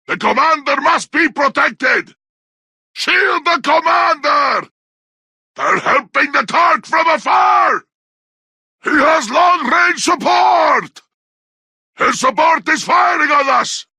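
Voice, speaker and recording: A man playing a brutish, bloodthirsty orc warrior in a video game, snarling crude taunts in a harsh, raspy growl. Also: very high-pitched, animated, takes it slowly, bright, forceful tone, no echo, raised voice, heavily acted. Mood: alarmed, urgent. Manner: snarling battle barks in crude, broken grammar. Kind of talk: video game combat barks.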